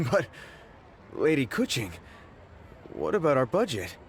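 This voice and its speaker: A man's voice: medium pitch, very animated, slow, slight background noise. A man playing a deferential, nervous worker in a video game, speaking hesitantly to a superior. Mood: worried.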